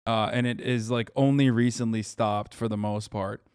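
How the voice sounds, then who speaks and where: A man's voice: lowish voice, fairly even intonation, moderate pace, darkish tone, clear, resonant tone, no echo, studio quality. Blunt, profane streamer man. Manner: profane, blunt, joking with chat. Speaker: streamer reacting to videos. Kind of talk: casual livestream chat.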